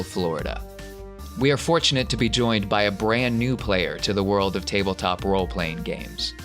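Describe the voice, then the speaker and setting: A man with a fairly low voice acts as game master and podcast host. He narrates and guides a tabletop role-playing game, with music faintly behind him. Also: fairly bright tone.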